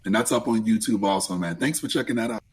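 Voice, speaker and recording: A man with a raspy voice, chatting in an easygoing, friendly way as a guest on a podcast interview. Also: fairly low pitch, quick pace. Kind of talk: casual interview chat.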